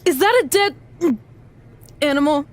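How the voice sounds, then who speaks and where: A woman's voice: high voice, animated, normal speed. A woman playing an eager, earnest young female wrestler in a video game, chatty with a dry, self-deprecating wit. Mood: wry, awkward. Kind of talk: video game cutscene acting, conversational.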